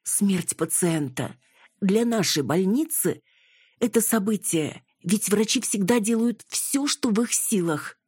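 A woman's voice: mid-range voice, animated, a little slow, a little mellow, husky, no room sound, studio quality, pitched above the performer's own voice. A woman voicing an officious, gossipy, emphatic hospital nurse in an audiobook. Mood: grave, defensive. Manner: scolding then lamenting, emphatic.

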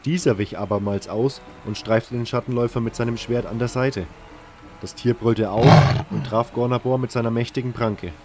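A man's voice: medium pitch, steady tone, normal speed. A man reading scripted first-person fantasy narration as the narrator of an audio drama. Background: music.

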